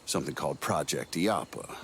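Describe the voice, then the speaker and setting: Cocky, animated ex-agent. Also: male voice, extremely low pitch, a little fast, slight background noise. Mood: sly, explaining. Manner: folksy, wisecracking, easygoing. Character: cocky middle-aged male American ex-agency operative. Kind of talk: cinematic video game dialogue.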